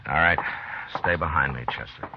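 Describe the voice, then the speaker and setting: Very deep-voiced frontier marshal. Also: male voice, creaky. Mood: tense, commanding. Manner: terse, measured, commanding. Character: firm, steady frontier marshal of the Old West. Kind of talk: 1950s western radio drama acting and narration. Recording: muffled, noisy 1950s radio recording.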